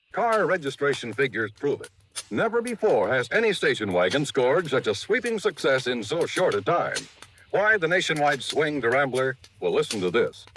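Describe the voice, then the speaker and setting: Animated 1950s male radio announcer. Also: mid-range voice. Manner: emphatic, polished selling. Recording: old radio recording with faint noise, clicks.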